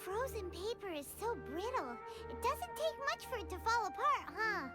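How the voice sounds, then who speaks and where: Tiny excitable fairy sidekick for a game: extremely high, squeaky, swooping, cheeky. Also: female voice, normal speed. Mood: curious, chirpy. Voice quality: squeaky, childlike. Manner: bubbly, dramatic, speaks of herself in third person. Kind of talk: scripted video game dialogue. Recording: loud background music under the voice.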